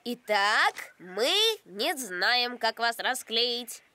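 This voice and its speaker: A woman's voice: a little high, animated, drawn-out words, pushed and bright, slight background noise, full character voice. A woman voicing an earnest, caring young boy in a cartoon, explaining things in a reasonable, encouraging way. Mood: pondering. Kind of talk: animated series voice acting.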